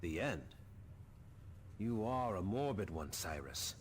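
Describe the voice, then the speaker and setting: An actor playing a cheerful, earnest younger space marine in a video game. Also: male voice, deep voice, sing-song, slow pace, slight background noise, full character voice. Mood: upbeat, questioning.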